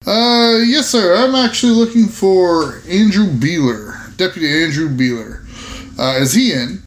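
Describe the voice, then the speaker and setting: Man playing a higher-voiced folksy investigator, roomy echo. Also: animated, a little slow. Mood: courteous, inquiring. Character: scruffy, folksy male investigator, courteous. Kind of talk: narrating own actions in tabletop roleplay. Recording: echoey room.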